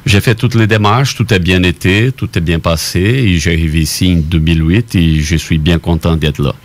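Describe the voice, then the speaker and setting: Deep-voiced Brazilian man, earnest guest. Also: moderate pace. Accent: Brazilian Portuguese. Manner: earnest, slightly non-native phrasing. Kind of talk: interview answers.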